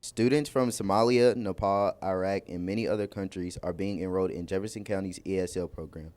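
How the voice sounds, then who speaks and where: A man who is a student correspondent, reading a scripted news report in a steady manner. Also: deep voice, fairly quick.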